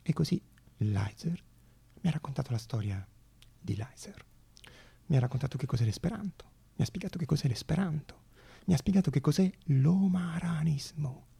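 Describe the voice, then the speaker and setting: A man performing a theatrical monologue as a storyteller, with a raspy, gravelly voice and dramatic delivery full of deliberate pauses. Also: on the high side, lively intonation, mellow. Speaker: stage actor and storyteller.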